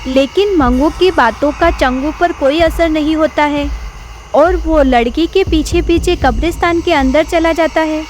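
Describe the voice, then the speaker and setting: High-pitched woman narrating a story. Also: measured pace, a little hiss or hum.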